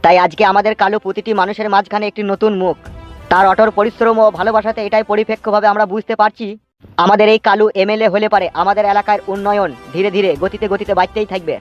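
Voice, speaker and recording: A man's voice: sits high, level tone, fast talker, natural voice. A man playing a solemn, ceremonious cartoon announcer giving a formal speech, in a dull lo-fi recording over music. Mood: solemn, proud. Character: formal male announcer at village election victory.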